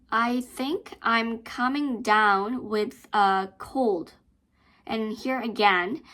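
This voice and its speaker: A young woman teaching English in a careful pronunciation lesson, drilling phrases slowly and repeating words with stress. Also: medium pitch, sharp tone.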